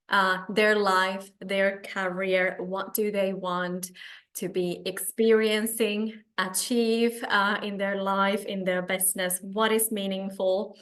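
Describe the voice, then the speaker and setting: Slow-paced Finnish-accented woman coach. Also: medium pitch, fairly bright tone. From Finland. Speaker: coach hosting an online meetup. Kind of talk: live webinar presentation to participants.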